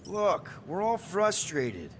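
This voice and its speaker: An actor playing a weary, defensive young leader of space heroes in a video game cutscene, speaking informally and with exasperation. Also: male voice, sits high, wildly swooping pitch, slow, slight background noise, exaggerated voice.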